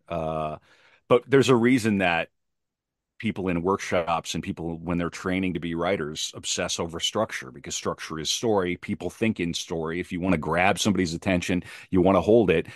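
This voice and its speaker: Man, very low voice, dry close sound, podcast guest, emphatic, conversational, rephrases for stress. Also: moderate pace, no room sound. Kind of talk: animated casual interview talk about craft.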